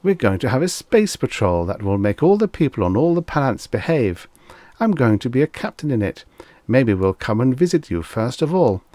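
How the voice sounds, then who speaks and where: Man putting on an eager, high-pitched small boy. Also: sing-song, moderate pace, mellow, no echo, close to an everyday voice. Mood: confident, boastful. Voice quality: clear piping treble. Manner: eager, boastful make-believe bravado. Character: excited, eager small boy, earnest space-adventure fan. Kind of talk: audiobook character voice.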